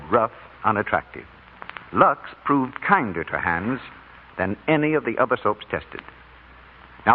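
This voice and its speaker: Animated vintage male radio announcer, tinny. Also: medium pitch. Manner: polished sales delivery. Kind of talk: 1940s radio commercial announcing. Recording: tinny, hissy old radio broadcast.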